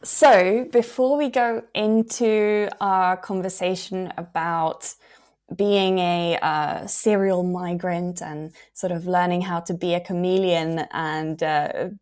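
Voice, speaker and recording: Low-voiced woman hosting an interview. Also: steady tone, slow pace, a little mellow. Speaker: podcast host.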